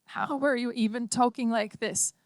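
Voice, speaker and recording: A woman's voice: a little high, fairly even intonation, normal speed, dry recording, clean studio sound, pitched above the performer's own voice, natural voice. A woman voicing a grieving mother, strained and on the edge of sobbing. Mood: desperate. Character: grieving mother, desperate and protective.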